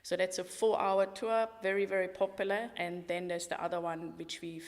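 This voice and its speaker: A woman's voice: on the low side, little pitch movement, moderate pace. A middle-aged woman from Switzerland with a Swiss accent, a walking tour guide talking in a podcast interview in an enthusiastic, matter-of-fact way. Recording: roomy hall-like reverb.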